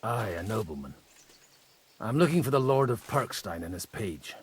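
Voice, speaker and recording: A man playing a worldly medieval parish priest in a video game, measured and dryly witty, with music behind. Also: on the low side, animated, slight background noise. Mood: polite, probing. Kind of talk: video game cutscene acting.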